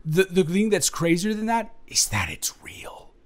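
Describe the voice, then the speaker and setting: A man playing an excitable, incredulous young influencer friend in an improvised audio roleplay, rambling emphatically to one listener. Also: sits high, pitched above the performer's own voice. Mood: animated, teasing. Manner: rambling, emphatic, halting, with dropped asides.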